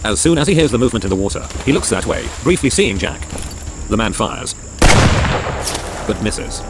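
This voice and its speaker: A man's voice: medium pitch, animated, normal speed, heavy background noise. A man reading scripted narration of dramatic action scenes as a storyteller.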